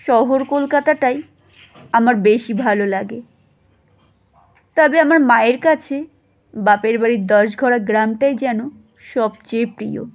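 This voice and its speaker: A woman's voice: fairly high pitch, unhurried, long pauses, lo-fi sound. A woman reading a first-person story as scripted audio narration.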